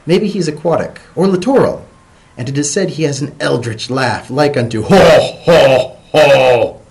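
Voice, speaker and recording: Man putting on a high-pitched, fussy female pony professor. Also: animated, measured pace, creaky, darkish tone, low-quality recording. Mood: puzzled, intrigued. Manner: lecturing, hesitant, pedantic.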